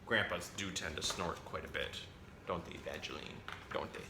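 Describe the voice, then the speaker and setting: A man hosting a children's storytime, reading a picture book aloud in a playful, expressive way and talking directly to young listeners. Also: sits low, moderate pace. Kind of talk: animated read-aloud of a children's picture book with chatty asides to a pet parrot. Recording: roomy echo, faint background noise.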